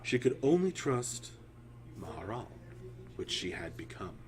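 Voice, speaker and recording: A male storyteller with a fairly low voice reads dark fantasy. His delivery is solemn and theatrical, shaped in deliberate phrases with dramatic pauses. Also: big pitch swings.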